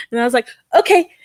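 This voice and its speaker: A woman co-hosting a casual podcast chat, telling stories and acting out quotes in a squeaky high voice. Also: no room sound. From grew up moving between military bases. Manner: animated storyteller, acts out quotes in a high voice. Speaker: podcast co-host.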